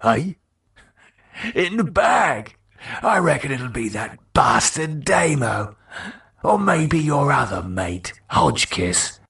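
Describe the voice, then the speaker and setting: A man's voice: mid-range voice, big pitch swings, takes it slowly, vocal fry, a bit bright, recorded from a distance, pitched above the performer's own voice, heavily acted. A man voicing a mocking dead man who goads and sneers. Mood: taunting. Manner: taunting, sneering.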